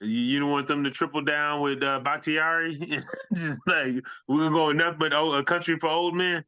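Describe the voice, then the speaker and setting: A man's voice: a little high, steady tone, normal speed, sharp tone, smooth, dry recording, muffled recording. A man co-hosting a sports show with casual banter and questions.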